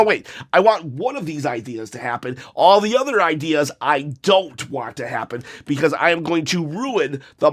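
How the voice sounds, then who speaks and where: A man hosting a wrestling opinion show, chatting casually with jokey asides, his voice slightly hoarse from being sick. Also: fairly high pitch. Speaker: wrestling commentary host.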